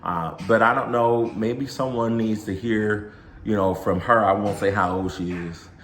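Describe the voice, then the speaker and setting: Deep-voiced man in casual faith talk. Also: unhurried, fairly bright tone, echoey room, slight background noise.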